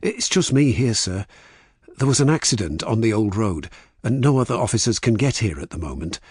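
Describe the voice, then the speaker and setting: A man's voice: on the low side, very animated, fairly quick, darkish tone, breathy edge, no room sound, clean studio sound, pitched above the performer's own voice. A man voicing a deferential, slightly uneasy police sergeant reporting facts respectfully, in a mystery audiobook. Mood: dutiful, uneasy.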